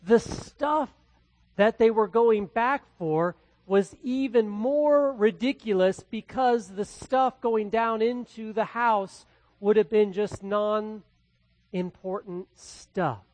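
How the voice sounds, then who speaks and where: A man from the Midwest with a Midwestern accent, preaching a verse-by-verse sermon as pastor, swinging between loud and quietly hushed delivery. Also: high-pitched, slow pace, dry recording. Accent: Midwestern American. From American Midwest. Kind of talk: expository sermon.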